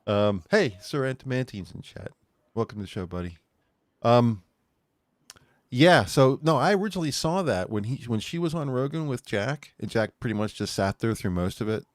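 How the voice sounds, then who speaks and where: A man's voice: medium pitch, animated, normal speed, no room sound, studio quality. A man co-hosting an online talk show, rambling casually and laughing at his own jokes. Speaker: podcast co-host. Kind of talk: casual chat.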